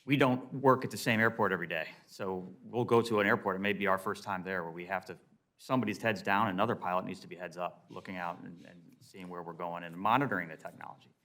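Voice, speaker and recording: A man's voice: low voice, fairly even intonation, quick pace, fairly bright tone. An American man, an airline pilot, giving unscripted, emphatic and explanatory testimony at a hearing.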